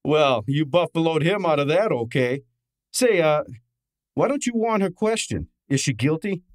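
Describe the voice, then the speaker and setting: A man's voice: on the high side, expressive, normal speed, no room sound, studio quality, lightly acted. A man playing a brash, wisecracking detective's assistant and narrator in a snappy old-time radio drama style. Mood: brash, incredulous. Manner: snappy, hard-boiled, wisecracking.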